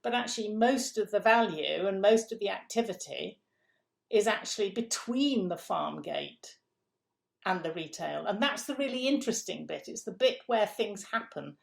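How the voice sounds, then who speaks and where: A woman's voice: mid-range voice, lively intonation, moderate pace, vocal fry. A middle-aged woman from England, a guest in an interview, explaining food systems step by step with emphatic repeats.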